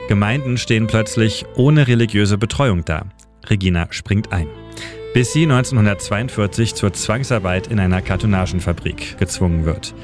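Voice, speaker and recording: A man's voice: fairly low pitch, moderate pace, over music. A man reading a scripted historical radio story in short, pointed sentences. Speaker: radio editor and city guide.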